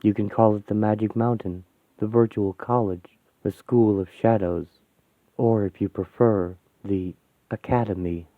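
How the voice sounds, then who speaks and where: A man playing a cryptic old mentor in a story, solemn and coaxing, with grand, measured phrasing. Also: fairly low pitch, fairly even intonation, no room sound, lightly acted. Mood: solemn, inviting. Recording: thin phone-like sound.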